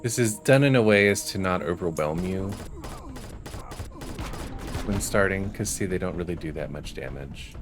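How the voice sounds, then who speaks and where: A man's voice: low voice, animated, normal speed, long pauses, music in the background. A man giving casual commentary as he plays a video game. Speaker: gaming video host. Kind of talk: casual gameplay commentary, partly reading game dialogue aloud.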